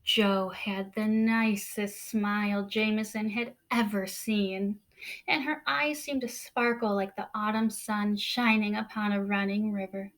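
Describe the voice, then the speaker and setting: A woman teacher reading a picture book aloud to children in a deliberate, expressive way, stressing key words. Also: medium pitch.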